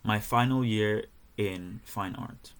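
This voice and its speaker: A young man with a fairly low voice walks through his art projects like a student presentation. He speaks in a measured, careful way, sometimes pausing on a filler or restarting a phrase. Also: clean tone. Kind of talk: reading a presentation.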